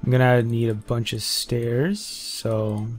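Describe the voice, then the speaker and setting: A man's voice: medium pitch, darkish tone, faint music behind. Rambling young man narrating his gameplay. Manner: rambling, halting, thinking aloud with many fillers and restarts. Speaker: gameplay commentator. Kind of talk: casual let's-play commentary.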